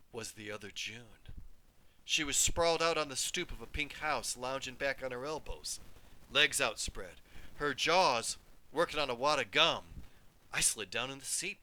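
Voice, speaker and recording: A man, a teacher, reading a story aloud with expressive, animated storytelling. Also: medium pitch, moderate pace, poor audio. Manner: animated, voices each character.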